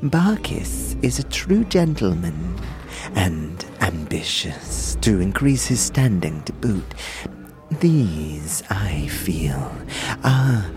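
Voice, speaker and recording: A man voicing a short, rotund older tavern drinker in an audio drama, soft and breathy, with halting, pompous, poetical speech. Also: sits low, sing-song, over music, voice pushed down, full character voice. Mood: genial, flowery.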